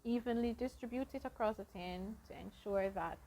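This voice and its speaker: A woman hosting a casual cooking vlog, explaining each baking step to her viewers in an unhurried way. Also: on the high side, warm tone. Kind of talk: casual baking demonstration narration.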